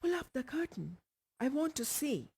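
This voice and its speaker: A woman voicing a sick young woman in a storybook, quiet, weak and wistful. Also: high-pitched, big pitch swings, moderate pace, voice pushed up. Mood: fatalistic, weak.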